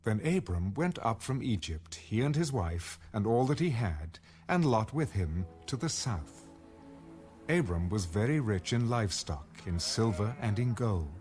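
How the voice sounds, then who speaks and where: A man's voice: on the low side, a little mellow. A man narrating scripture as a scripted, dramatized reading. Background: music.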